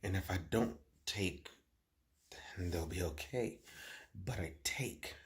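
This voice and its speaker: A man acts out a troubled, guilt-ridden schoolboy in a dramatic monologue. His voice sits lowish and hushed, halting and deliberate, with heavy pauses between phrases. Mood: confused, grieving.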